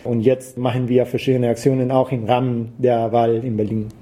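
A man's voice: medium pitch, level tone, moderate pace, warm tone. A man from Rica, answering interview questions in German with frequent hesitations and fillers. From Costa Rica. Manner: hesitant, frequent "äh" fillers, non-native grammar. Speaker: activist guest.

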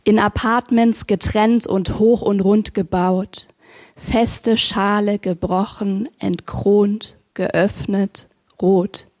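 A woman poet introducing herself and reading her poem aloud in a slow, measured, gently hesitant way at a live reading. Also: mid-range voice, fairly even intonation. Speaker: poet and facilitator.

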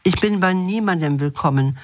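A woman's voice: fairly low pitch, normal speed, no room sound, lightly acted. A woman voicing a dejected, timid grey spirit in a fairy tale, hesitant and sorrowful. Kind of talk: fairy tale read aloud.

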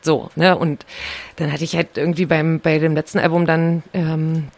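Young German woman, co-host, relaxed chatter, lowish voice. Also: normal speed. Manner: chatty, self-deprecating, many fillers. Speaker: co-host, songwriter in a band. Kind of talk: casual radio chat.

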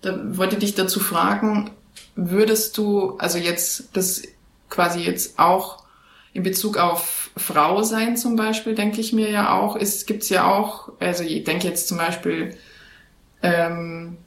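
A woman's voice: fairly low pitch, fairly even intonation, slow, reverberant. A woman interviewing a guest, thinking aloud with frequent fillers as she shapes her questions. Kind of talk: conversational interview.

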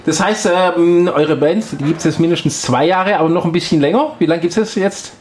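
A man hosts a casual radio interview, his voice sitting a bit high. He talks haltingly, stopping often for fillers as he works out his questions. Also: darkish tone, slight background noise. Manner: hesitant, many fillers. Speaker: radio host.

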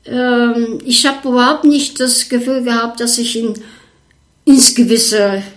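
An older woman from Bulgaria with a Bulgarian accent, a violinist recalling her past in an interview in slow, hesitant German full of fillers. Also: a little high, fairly even intonation. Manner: hesitant, many fillers, searching for German words. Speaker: orchestra violinist. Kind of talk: interview recollection.

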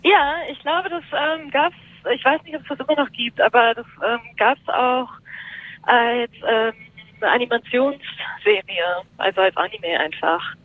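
A woman's voice: a little high, a little slow. Woman on a tinny phone line. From Japan until age seven, then Germany. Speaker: journalist and columnist interviewed as guest. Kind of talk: conversational interview answers. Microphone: telephone or call connection.

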